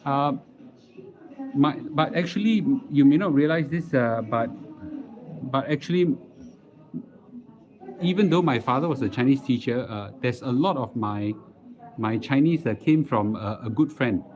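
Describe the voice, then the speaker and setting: Lo-fi muffled stream audio, middle-aged man, Singaporean accent, mid-pitched voice, casual livestream host, halting repetitive phrasing. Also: animated, darkish tone. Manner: halting, repeats words as he goes. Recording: dull lo-fi live stream audio.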